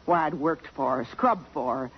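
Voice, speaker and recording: An actress playing a blunt, defiant, fiercely loyal working-class housemaid in a 1950s radio drama. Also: female voice, deep voice, moderate pace, husky. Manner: blunt, defiant, plain-spoken. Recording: muffled 1950s radio broadcast.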